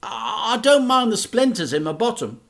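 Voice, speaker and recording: A man voicing an earnest, curious young boy who asks eager, slightly halting questions. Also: extremely high pitch, big pitch swings, creaky, fairly bright tone, pitched above the performer's own voice, heavily acted.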